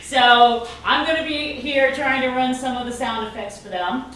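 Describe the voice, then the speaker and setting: A woman's voice: high-pitched, normal speed, a bit bright. A woman drama instructor and director giving an informal spoken introduction to an audience. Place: indoor venue with lobby, speaking to audience. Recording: very echoey room, distant mic, faint noise.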